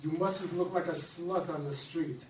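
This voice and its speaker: A man reads a cold, domineering militant commander who gives orders in a curt, preaching tone. His somewhat high voice comes from a distance on a stage, with a roomy echo and a faint hiss behind it. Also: unhurried, darkish tone, lightly acted. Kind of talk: readers theatre play reading. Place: stage in a theatre.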